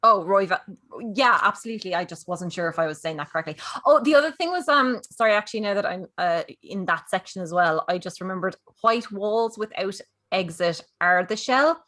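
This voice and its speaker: A woman with a medium-pitched voice talks over a script as one of the cast. She is casual, hesitant and apologetic in how she puts things. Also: animated, a little fast, a bit bright. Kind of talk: casual discussion.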